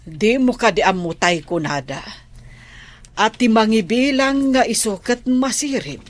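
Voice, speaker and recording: A woman's voice: on the high side, lively intonation, unhurried. A woman acting a reproachful, opinionated middle-aged relative with a Filipino accent in an Ilocano radio drama.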